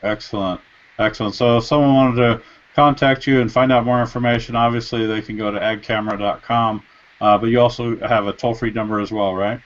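A man's voice: medium pitch, fairly even intonation, fairly quick. A man in his forties hosts a live online interview from his home office, upbeat, and he often says "excellent". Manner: upbeat host, repeats "excellent". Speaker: host. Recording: dull lo-fi webcam audio.

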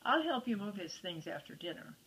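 Wry storybook dad, read by a bright-voiced woman. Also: mid-range voice, big pitch swings, brisk, slightly rough, exaggerated voice, rough recording. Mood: practical.

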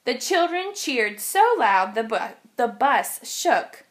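A woman with a high voice reads a children's picture book aloud. Her delivery is expressive and very lively, rising and falling as she tells the story. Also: takes it slowly. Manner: expressive, animated reading. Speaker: storybook reader.

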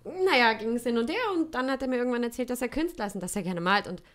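A woman's voice: on the high side, lively intonation, quick pace, a bit bright. A woman in her thirties playing a chatty, conversational woman in an improvised audio drama. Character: chatty woman in a sauna recounting an encounter. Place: small home studio, indoors. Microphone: close studio condenser mic.

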